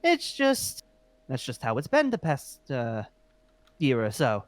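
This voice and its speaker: A man's voice: mid-range voice, huge pitch swings, slow, dry recording. A man playing a polite, flustered, centuries-old dragon slayer guild leader in an improvised fantasy roleplay, hesitant and apologetic. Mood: welcoming.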